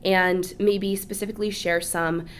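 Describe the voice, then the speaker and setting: A woman's voice: on the low side, little pitch movement, measured pace, slight background noise. A woman hosting a podcast, reading an eager scripted intro and asking her guest questions. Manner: upbeat, eager. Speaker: podcast host.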